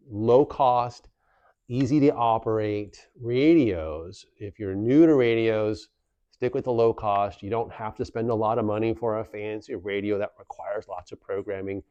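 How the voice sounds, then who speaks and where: Low-voiced man giving practical instructions. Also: mellow, no room sound, dull audio. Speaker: instructor presenting a community video series. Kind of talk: informal instructional explanation. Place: small plain office room, indoors. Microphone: lavalier clipped at the collar.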